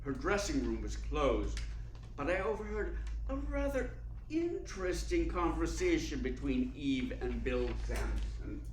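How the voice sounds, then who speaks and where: An actor playing an urbane, cunning theater columnist in a radio play, speaking in a suave, deliberate, formal way. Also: male voice, a little high, very animated. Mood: arch, intrigued. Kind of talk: radio play narration and dialogue. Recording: roomy echo, faint background noise.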